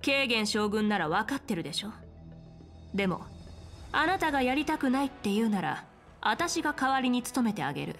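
Game general: woman, composed, authoritative, frank, measured delivery over background music. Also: on the high side, sing-song, a bit bright. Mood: frank, confident. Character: confident, composed female military general in fantasy game. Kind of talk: dramatic Japanese game voice acting.

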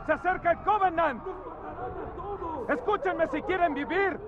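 An actor playing a loud, urgent man in a besieged city in a Latin American Spanish audio drama. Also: very high-pitched, unhurried, phone-quality sound. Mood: rallying. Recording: loud crowd around the voice.

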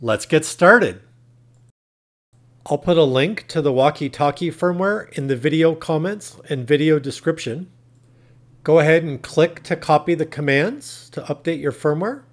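Male tutorial narrator, pitch a little above average, plain methodical step-by-step instruction. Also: lively intonation, a little slow. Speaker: tutorial host.

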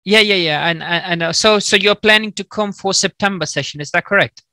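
A man, a Pashto speaker, hosting a friendly, halting video call chat over a headset mic. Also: sits high, pushed and bright, dry recording. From Pakistan. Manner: friendly, halting with many restarts. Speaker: host. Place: small indoor room.

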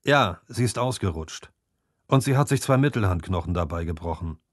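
A man's voice: low-pitched, expressive, moderate pace, dry recording, studio quality. Stern, duty-bound marksman, read by a man. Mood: stern, reproachful. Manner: firm, plain-spoken, admonishing.

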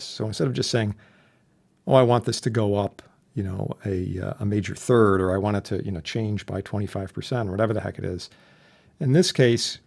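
A man instructing in an informal software tutorial, chatty and plain-spoken with a wry streak. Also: lowish voice, creaky, husky. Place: indoors, voice-over for a screen recording.

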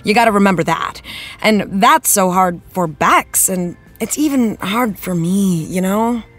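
A woman's voice: medium pitch, a little slow, lightly acted. A woman playing a candid, playful actress being interviewed on a talk show, with a conversational, self-deprecating manner. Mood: sympathetic, wistful.

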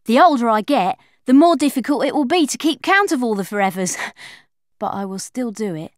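A woman's voice: on the high side, brisk, dry recording, clean studio sound. A woman narrating a children's audiobook in the first person as a chatty, confiding young girl. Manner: chatty, confiding, lively schoolgirl voice.

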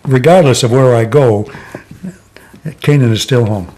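An older man in his seventies from Connecticut, reminiscing slowly in a sit-down oral history interview, with many pauses and "uh" fillers. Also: medium pitch, warm tone. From Connecticut, USA. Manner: slow, reflective, many "uh" pauses. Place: living room, seated in armchair.

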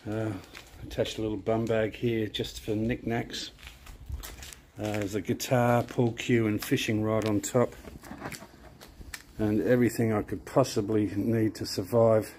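A man's voice: lowish voice, a little slow, a little hiss or hum. A middle-aged man with an Australian accent, chatting casually to a handheld camera while camping by a lake. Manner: relaxed, chatty, easygoing. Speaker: vlogger. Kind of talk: casual travel vlog narration. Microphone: handheld camera at arm's length.

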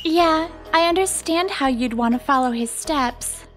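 A woman's voice: sits high, measured pace. A woman playing a brash, blunt teenage tomboy who gets shy and flustered, in an English-dubbed manga romcom cartoon. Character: brash tomboy teenage girl fighter, secretly girlish and shy. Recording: music behind the voice.

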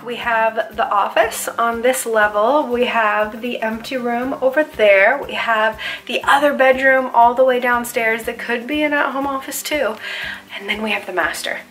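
A woman's voice: fairly high pitch, a bit bright. An American woman in her thirties, a real estate agent, chatting enthusiastically to camera in a casual house-tour style. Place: inside a furnished townhouse.

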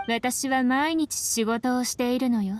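A woman's voice: high voice, unhurried. A woman playing an aloof young female watchkeeper in an anime game, cool, curt and unimpressed. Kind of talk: Japanese anime game acting.

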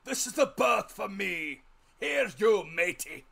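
A man voicing a rough, commanding old sea captain in a dramatic storybook reading, loud and slow, barking his words. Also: sits high, lively intonation, pitched above the performer's own voice, heavily acted.

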